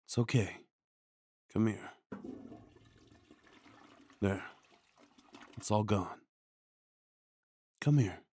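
A man performing an ASMR comfort roleplay as a tender, worried boyfriend, speaking in a hushed, soothing way with sighs and shushing. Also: on the low side, drawn-out words, pauses often, husky.

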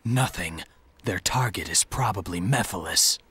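An actor playing a brooding, stubborn hedgehog antihero in a video game, terse and stern. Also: male voice, low voice, expressive, unhurried, pushed and bright, slightly rough, no room sound, heavily acted. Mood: cool, resolute. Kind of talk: video game cutscene acting.

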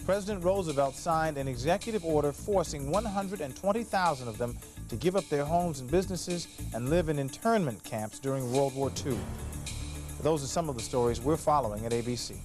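A man reading news headlines as anchor with a brisk, formal delivery. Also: on the high side, over music.

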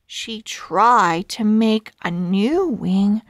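A woman reading a children's book aloud as a storyteller, in a deliberate, expressive manner with careful enunciation. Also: mid-range voice, dry recording, clean studio sound.

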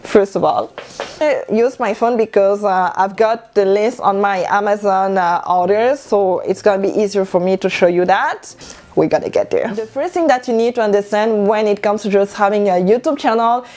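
A woman's voice: mid-range voice, normal speed. A young woman whose first language is French, talking casually to camera in a room and gesturing as she speaks. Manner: animated, gesturing with hands while standing. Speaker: vlogger. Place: indoor room with clothing rack. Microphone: lapel mic.

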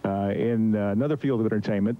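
A man's voice: lowish voice, quick pace. A middle-aged man hosting a radio show with casual banter and questions. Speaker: radio host. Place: small radio studio. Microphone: studio microphone on boom arm, headphones. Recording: dull lo-fi radio studio tape.

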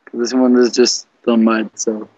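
A man's voice: medium pitch, level tone, normal speed, a little mellow, no echo. Slangy young man, slightly muffled. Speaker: prank caller. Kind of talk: casual chat on an internet group call. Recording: slightly muffled computer call audio.

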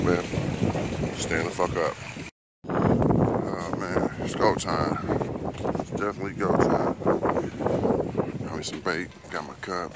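A man hosting a casual fishing vlog outdoors, talking in hyped slang with frequent swearing. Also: sits low. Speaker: host of a fishing show. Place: outdoors on a fishing boat and dock. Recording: windy outdoor recording, loud boat engine noise. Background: wind, water, boat engine.

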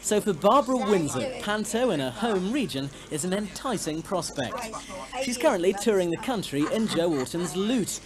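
A woman with a British accent reading a scripted news report voice-over. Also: on the low side.

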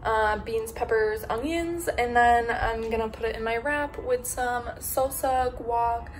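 A woman's voice: on the high side, measured pace, a little hiss or hum, poor audio. A woman in her twenties chatting casually through a day-in-the-life vlog at home, full of "like" fillers. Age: 20s. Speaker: vlogger. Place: home apartment, indoors.

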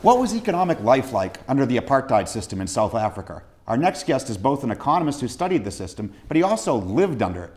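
A young man hosting a studio interview show, asking questions briskly and introducing guests. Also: mid-range voice, sing-song, darkish tone. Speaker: show host. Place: TV studio set, seated in armchair.

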